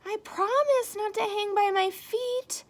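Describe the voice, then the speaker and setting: Woman voicing an earnest, squeaky little girl bat. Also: unhurried. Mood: resolute. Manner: squeaky, childlike. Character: young female fruit bat, earnest and naive.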